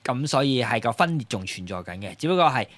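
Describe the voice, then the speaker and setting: A man's voice: medium pitch, quick pace, dry recording. A man hosting a casual podcast chat, talking loosely with many fillers. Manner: relaxed, many fillers, wraps up the show. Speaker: podcast host.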